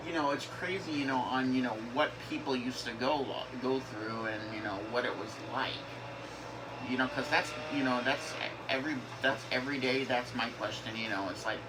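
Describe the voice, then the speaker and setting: A man's voice: mid-range voice, normal speed. A young man from the northern United States talking casually over gameplay, rambling with many fillers and restarts. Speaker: gaming YouTuber. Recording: game engine noise behind.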